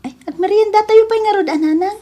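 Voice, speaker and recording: A woman playing an indignant, hurt but firm wife and mother in a radio comedy drama, sharp-tongued, with an Ilocano accent. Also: extremely high pitch, a little fast.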